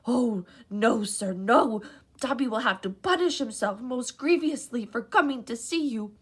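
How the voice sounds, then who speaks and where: A woman voicing a small, servile male house elf with a squeaky, high-pitched voice and an earnest, tearful, overly formal manner. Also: unhurried, a bit bright, breathy edge.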